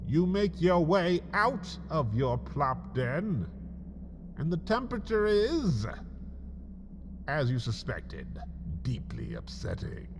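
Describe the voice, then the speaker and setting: A man narrating a scripted comic story in the second person, theatrical and melodramatic, with dramatic pauses. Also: fairly high pitch, sing-song, background noise. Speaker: writer and narrator of a comedy story podcast.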